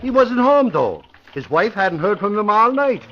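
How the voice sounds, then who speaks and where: High-pitched, excitable reporter sidekick. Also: male voice, normal speed, a little hiss or hum, exaggerated voice. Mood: matter-of-fact. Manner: blunt, colloquial, excitable. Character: excitable, plain-spoken male newspaper reporter sidekick. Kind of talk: old-time radio crime drama acting. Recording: muffled old radio recording.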